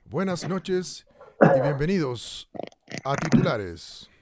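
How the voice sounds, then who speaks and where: A man's voice: on the high side, very animated, takes it slowly, a loud crowd. A man with a Chilean accent playing a radio station announcer who opens the broadcast and introduces the director in a formal, ceremonious way. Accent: Chilean Spanish.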